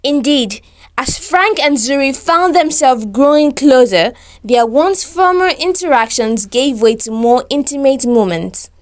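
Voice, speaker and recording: A woman's voice: sits high, expressive, unhurried. A woman storyteller reading a scripted romantic tale in a formal, descriptive, careful manner.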